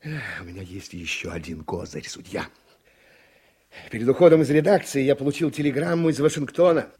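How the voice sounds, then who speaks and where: A man's voice: mid-range voice, animated, fairly quick, a little mellow, breathy edge. An actor in a radio play playing a brash, mocking newspaperman who taunts and chuckles his way through his talk. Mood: gleeful, taunting. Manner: taunting, chuckling, theatrical swings of emphasis.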